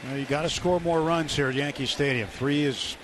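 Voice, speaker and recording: Male baseball analyst amid clear stadium noise. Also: a little high, fairly quick, crowd noise. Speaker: baseball color commentator. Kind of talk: conversational live sports commentary. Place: outdoor baseball stadium broadcast booth. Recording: noisy outdoor stadium ambience.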